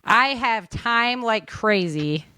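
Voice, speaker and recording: A woman's voice: a little high, lively intonation, slow pace, pushed and bright, clean tone, no echo. An American woman hosting a podcast in a casual, chatty, informal and enthusiastic way. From United States. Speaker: podcast host. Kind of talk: casual interview chat.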